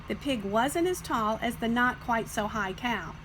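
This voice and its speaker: A woman reading a children's picture book as scripted narration, with expressive, deliberate phrasing. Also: a little high, background noise. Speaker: storybook reader.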